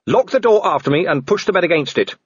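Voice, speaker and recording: A man's voice: sits high, animated, quick pace, breathy edge, no echo, lo-fi sound, voice pushed up. A man voicing a male police commander in an audiobook, blunt and terse, easily flustered in private. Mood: urgent, commanding.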